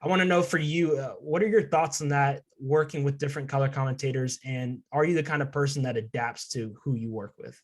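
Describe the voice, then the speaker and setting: A young man speaks at a brisk clip in a mid-range voice. He sounds like a student podcast host, casual and conversational as he sets up questions for his guest. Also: mid-range voice, fairly even intonation. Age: young adult. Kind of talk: casual interview chat.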